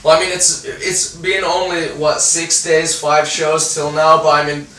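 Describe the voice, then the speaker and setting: Young male musician, casual sweary interview chat. Bright delivery, echoey venue, off-mic. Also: fairly high pitch, flat delivery, a little slow. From Finland. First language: Finnish. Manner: laid-back slangy, sweary, enthusiastic. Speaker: band singer and guitarist, interviewee. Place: indoors at a music venue after a show. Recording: echoey venue room, faint noise, distant mic.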